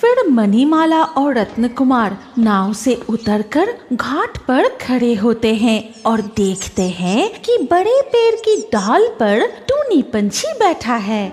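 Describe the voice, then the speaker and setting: A woman's voice: a little high, very animated, slow, few pauses, darkish tone. A woman narrating a children's cartoon story from a script.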